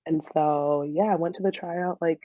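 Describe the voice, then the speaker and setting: A woman's voice: deep voice, steady tone, normal speed, warm tone, no room sound. A woman, chatting casually and informally as an interview guest on a dull recording. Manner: chatty, frequent laughter and filler "like". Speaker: podcast guest, soccer player and dental student.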